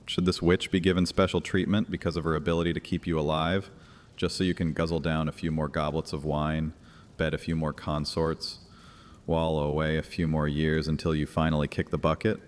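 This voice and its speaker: An actor playing an ancient vampire high priest in an audio horror drama, grandiose, sardonic and taunting. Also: male voice, flat delivery, brisk, a little hiss or hum. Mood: scornful. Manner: theatrical, sardonic, taunting, with casual asides. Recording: long cavernous reverb.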